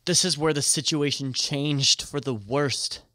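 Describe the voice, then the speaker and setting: A man's voice: fairly high pitch, pushed and bright. A man playing a grieving, shaken robbery survivor giving emotional testimony in an audio drama. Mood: grave, narrating. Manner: halting, emotional testimony turning to storytelling. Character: shaken, grieving male bank robbery witness, prickly, raw. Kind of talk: audio drama acting, first-person narration.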